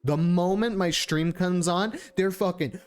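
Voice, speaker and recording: A man's voice: high-pitched, a little slow, darkish tone, clean tone, faint music behind. A man doing casual live-stream game commentary, irreverent, sweary and easily distracted. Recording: faint background noise.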